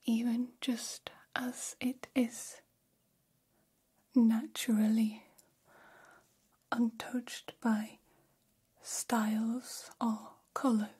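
A woman voicing a gentle, curious humanoid alien in whispered ASMR roleplay, speaking in soothing, stilted, formal phrases. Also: a little high, little pitch movement, very slow, pauses often, heavily acted. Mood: awed, gentle. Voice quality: breathy whisper.

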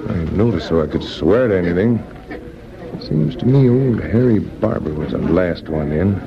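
An actor playing a chatty, good-natured saloon keeper in an old-time radio drama, with a folksy drawl and homespun grammar. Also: male voice, sits low, lively intonation, moderate pace, vocal fry, background noise. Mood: cautious, concerned. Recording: muffled vintage radio recording with crowd murmur.

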